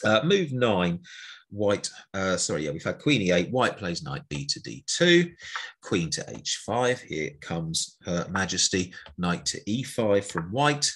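Deep, husky chess teacher. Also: male voice, very animated, a little slow, fairly bright tone. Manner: chatty and playful, calls out moves one by one. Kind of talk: chess lesson narration over an on-screen board.

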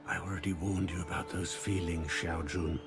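An actor playing an older assassin master in a video game, a calm, patient mentor who speaks gravely and deliberately. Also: male voice, deep voice, over music. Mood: somber. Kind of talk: dramatic game cutscene dialogue.

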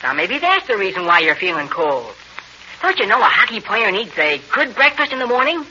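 A man's voice: high-pitched, big pitch swings, brisk, bright, forceful tone, full character voice. A male actor playing an eager, boisterous American boy in a 1940s radio serial, exclamatory and slangy. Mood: earnest persuading. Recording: muffled noisy 1940s radio broadcast.

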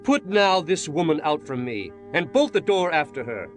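An actor playing a lovesick, demanding young prince in a dramatized audio Bible, speaking in imperious, archaic phrases. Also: male voice, on the high side, very animated, normal speed, faint music behind. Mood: curt command. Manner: imperious, archaic formal speech.

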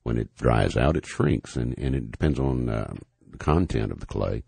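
Older man, extremely deep gravelly voice. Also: animated, moderate pace, darkish tone, no room sound. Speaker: radio show host and home builder. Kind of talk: unscripted radio talk.

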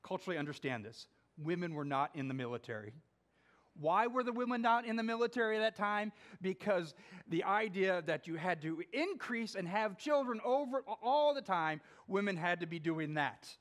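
A middle-aged man preaching a sermon as pastor in a small church, pacing while he reads scripture aloud and swings from emphatic to hushed. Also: high-pitched, expressive, normal speed. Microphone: far camera.

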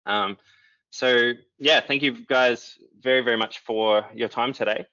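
A young man moderating a panel on a video call, relaxed and filling pauses with ums. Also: medium pitch, no echo. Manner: relaxed, many "um" fillers. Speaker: moderator, company chief executive. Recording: dull lo-fi video call audio.

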